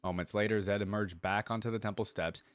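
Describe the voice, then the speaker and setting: A man with a fairly low voice, recorded dry with little room sound. He hosts in an informal, think-out-loud way, moving between reading text aloud and offhand commentary. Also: steady tone, fast, dry recording. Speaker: reaction video host.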